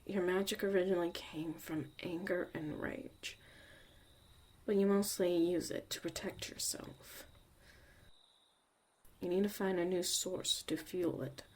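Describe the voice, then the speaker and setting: A woman acting a gruff, guarded but secretly caring young mage mentor in a zombie apocalypse ASMR roleplay, soft-spoken and often near a whisper. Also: lowish voice, fairly even intonation, a little slow, pauses often. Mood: calm, soothing.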